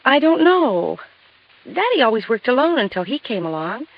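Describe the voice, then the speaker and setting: An actress playing an anxious, chatty married woman in her late twenties, earnest and quick to explain, in 1950s radio drama style. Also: fairly high pitch, very animated. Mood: troubled, insistent. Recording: dull vintage radio recording.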